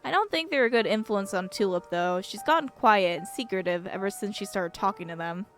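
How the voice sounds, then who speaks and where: A woman voicing an earnest, devout young father from a visual novel, speaking in a measured, confiding way. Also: mid-range voice, lively intonation, faint music behind, lightly acted. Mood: worried. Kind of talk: acted reading of visual novel dialogue.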